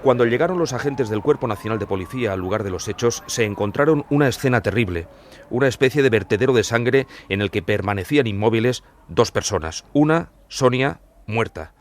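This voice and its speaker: A man's voice: medium pitch, expressive, a little fast. A man hosting a podcast with scripted documentary narration.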